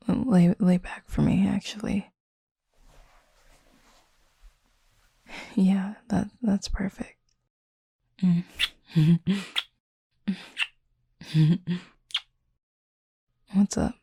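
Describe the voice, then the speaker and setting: A woman voicing a flirty, caring female werewolf in an intimate audio roleplay, in a hushed, unhurried, teasing but gentle murmur. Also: fairly low pitch, dry recording, exaggerated voice. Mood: gentle, serious. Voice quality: breathy, husky, fry-laden murmur.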